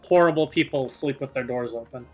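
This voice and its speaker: A man's voice: mid-range voice, fairly quick. A man in casual, playfully insistent banter with friends as he co-commentates a video game. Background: game music.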